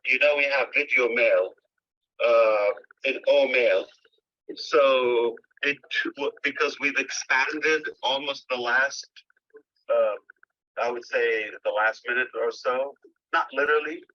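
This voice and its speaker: A man giving a company update over a phone call, pausing often with filler sounds as he searches for words. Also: medium pitch. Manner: halting, many filler pauses. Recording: dull lo-fi phone line.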